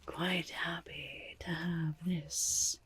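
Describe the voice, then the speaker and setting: A woman voicing a quirky, shifty goblin merchant in a whispered ASMR roleplay, breathy and crackly, with halting, drawn-out salesmanship and muttered asides. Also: on the low side, little pitch movement, a bit bright, exaggerated voice. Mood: gleeful sales pitch. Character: quirky shifty goblin merchant peddling grotesque wares, eager and defensive.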